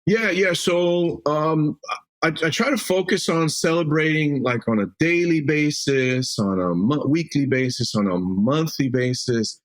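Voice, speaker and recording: A man's voice: medium pitch, fairly bright tone. Mexican-born man, chatty business owner. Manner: loose and talkative, many fillers and restarts. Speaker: roofing company CEO, podcast guest. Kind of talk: casual interview answers.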